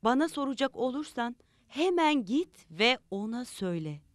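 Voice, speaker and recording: A woman's voice: fairly high pitch, sing-song, normal speed. A woman playing a devoted villager in a religious cartoon. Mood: reassuring. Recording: loud music over the voice.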